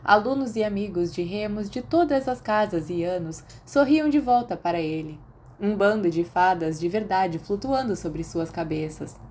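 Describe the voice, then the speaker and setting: A woman's voice: medium pitch, animated, normal speed, a little mellow. A woman with a Brazilian accent reading scripted fiction as an audiobook narrator. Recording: faint background noise.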